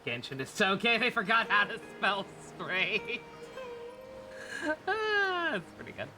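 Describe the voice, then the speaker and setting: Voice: mid-range voice, wildly swooping pitch, slow pace, poor audio. A game streamer giving casual, rambling, jokey livestream commentary and laughing easily. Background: video game music.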